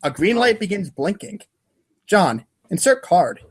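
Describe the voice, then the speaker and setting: A man's voice: high-pitched, very animated, unhurried, breathy edge. A man narrating a webcomic readers' theater script in a wry way, now and then tripping over the text. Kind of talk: dramatic reading of webcomic narration.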